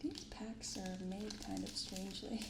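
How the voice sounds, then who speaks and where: A woman speaking softly in an ASMR style, giving gentle, unhurried casual commentary as host of a card-opening video. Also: mid-range voice, expressive, gravelly, echoey room, very noisy. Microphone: binaural microphone.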